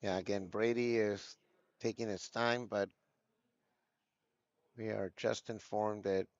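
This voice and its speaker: A man's voice: on the low side, level tone, slow, dry recording, dull audio. A man commentating a live golf video game tournament, chatting loosely and reading names from the chat.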